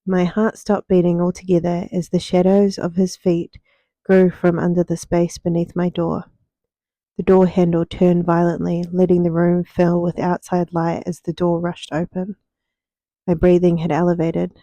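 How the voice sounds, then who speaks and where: A young woman narrates a story in soft ASMR style, her tone very dark and mellow. Her pitch sits on the low side and moves little, with deliberate pauses between phrases. The sound is dry and close. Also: completely flat delivery, no room sound, lo-fi sound. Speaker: writer reading her own book.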